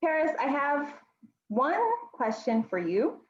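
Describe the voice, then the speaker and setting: A woman's voice: high voice, lively intonation, takes it slowly. A woman from Mozambique hosting an online club meeting over a video call, giving friendly instructions with frequent ums. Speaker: meeting host. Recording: echoey, dull audio.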